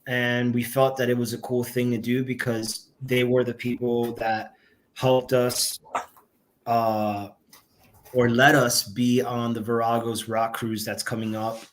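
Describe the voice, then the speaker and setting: A young man chatting as a podcast guest, easygoing and rambling. His speech is full of fillers and restarts, and his voice sits at an ordinary middle pitch. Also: little pitch movement, a little slow, a little hiss or hum. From Miami, Florida. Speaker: band member, guest. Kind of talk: casual interview talk.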